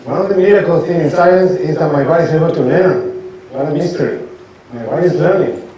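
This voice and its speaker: A man gives an informal lecture as a doctor, speaking haltingly and asking his audience questions. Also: on the high side, warm tone, dull audio. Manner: halting, restarts sentences, asks audience rhetorical questions. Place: lecture hall. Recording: echoey hall, from a distance, faint noise.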